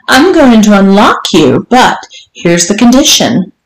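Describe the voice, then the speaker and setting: A woman voicing a domineering, teasing, strict mistress in an audiobook, speaking in an imperious, deliberate way. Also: mid-range voice, sing-song. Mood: commanding, probing. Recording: roomy, echoing room sound.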